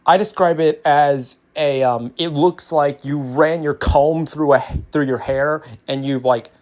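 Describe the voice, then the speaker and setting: A man's voice: on the high side, fairly even intonation, a little slow, a little mellow, no echo. A man hosting an informal educational ASMR explainer, talking in a hesitant, rambling way. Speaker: host of an educational bug ASMR series.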